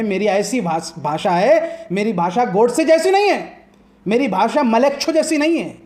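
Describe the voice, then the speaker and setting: A man's voice: high voice, darkish tone. A man arguing as a political commentator in a heated TV debate, impassioned and combative, firing off rhetorical questions.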